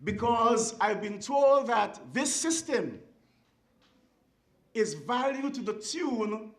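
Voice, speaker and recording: A speaker with a Kittitian accent giving a formal ceremonial speech in a deliberate, oratorical way, with emphatic short phrases. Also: medium pitch, animated. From Saint Kitts and Nevis. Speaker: guest speaker at a school ceremony. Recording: echoey venue sound.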